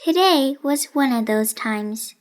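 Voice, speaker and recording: A woman narrating a children's storybook in a deliberate, carefully enunciated way. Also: high-pitched, expressive. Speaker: children's audiobook reader.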